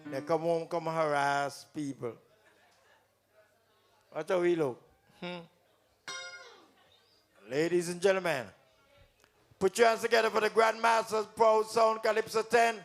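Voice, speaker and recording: High-pitched, gravelly Kittitian male emcee. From St Kitts. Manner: teasing, talks directly to audience members. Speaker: calypso tent emcee and comedian. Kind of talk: live stage hosting with teasing banter. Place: live calypso tent stage before an audience.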